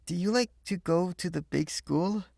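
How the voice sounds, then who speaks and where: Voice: medium pitch, moderate pace, vocal fry, a little mellow, no echo, clean studio sound, voice pushed up. A reader voicing a small eager girl from Chinatown who speaks in broken English. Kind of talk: storybook read-aloud.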